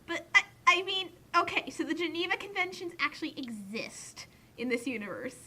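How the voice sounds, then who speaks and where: Female co-commentator, very sharp high voice, excitable and halting, casual comic riffing, faint noise behind. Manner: excitable, halting, repeats and breaks off phrases.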